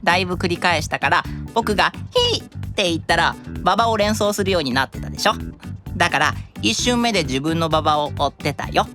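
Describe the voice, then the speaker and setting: A man's voice: high-pitched, expressive, fairly quick, music in the background. A man voicing a clever, teasing young angel boy in an anime-style audio drama. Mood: triumphant. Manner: sly, teasing, taunting.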